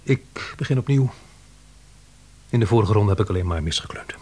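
An actor playing a bumbling, earnest male scholar in a Dutch radio drama, husky, hesitant, wordy and apologetic. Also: fairly low pitch, expressive, moderate pace, darkish tone. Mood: awkward, apologetic.

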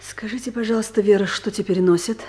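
A woman's voice: medium pitch, steady tone, fast, dark tone, close to an everyday voice. An actress playing an awkward, formal woman director in her thirties, hesitant and self-conscious, in a radio comedy. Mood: embarrassed, hesitant. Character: awkward, stiff female director in her thirties, shyly curious. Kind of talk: 1970s Soviet radio comedy acting.

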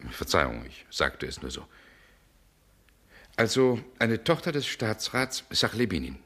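An actor playing a sceptical, worldly gentleman in a 1960s German radio play, terse and drily mocking in his questions. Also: fairly low pitch, very animated, a little slow, heavily acted. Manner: terse, incredulous, dry questioning.

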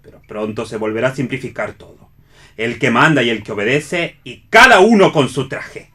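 An actor playing an officious, scornful park guard in a radio play, declaiming with authority in an Argentine accent. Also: male voice, medium pitch, lively intonation, moderate pace, sharp tone. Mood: scornful, preachy. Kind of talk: theatrical read radio play.

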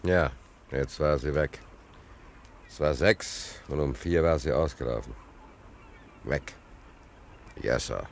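Ein Mann spielt in einem deutschen Hörspiel einen gestrandeten, ruhelosen Seemann, der nachdrücklich und abschweifend erzählt und sich oft wiederholt. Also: male voice, very deep voice, takes it slowly, creaky, long pauses, slight background noise, heavily acted. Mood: resigned, melancholy. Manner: emphatic, rambling, repeats himself, hesitant fillers. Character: stranded, restless seaman, rambling and philosophizing about his luck. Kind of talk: German radio play dialogue.

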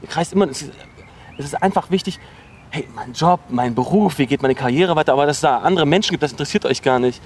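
An actor playing a bitter, obsessive ex-boyfriend in a naturalistic radio drama, speaking insistently in halting, repetitive bursts. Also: male voice, fairly high pitch, a little hiss or hum, close to an everyday voice. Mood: scornful, agitated. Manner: insistent, accusatory, halting with repeated words.

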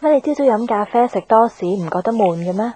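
A woman's voice: on the high side, animated, fast talker, warm tone, slightly rough. An actress in a Hong Kong Cantonese radio drama playing a forward, probing young female colleague. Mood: teasing, probing.

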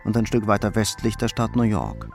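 A man's voice: on the low side, very animated, brisk, vocal fry, faint music behind. A man reading scripted narration for a crime radio drama.

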